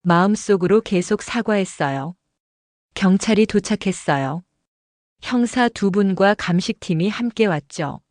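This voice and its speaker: Woman reading out a drama story, calm even voice, super dry close mic. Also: medium pitch, a little slow, no echo. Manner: calm storytelling, first person. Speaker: narrator. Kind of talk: scripted audio drama narration.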